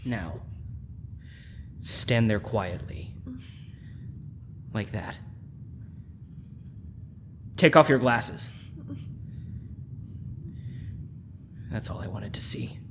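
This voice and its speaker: A man acting a menacing, unhinged husband obsessed with his dead sister, speaking in a deliberate, domineering way in a radio thriller style. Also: on the low side, animated, a bit bright, a little hiss or hum, exaggerated voice. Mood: cold, controlling.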